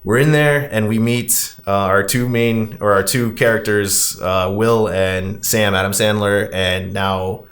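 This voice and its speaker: Low-voiced man casually recapping a film. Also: steady tone, a little slow, few pauses, a bit bright. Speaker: podcast host recapping a film.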